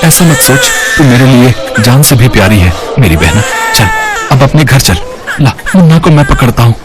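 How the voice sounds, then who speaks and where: A man playing a protective, stern but loving elder brother in a melodramatic cartoon story, with an emphatic, husky delivery. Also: mid-range voice, animated, normal speed, music in the background, lightly acted. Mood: anguished affection. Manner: emotional, emphatic.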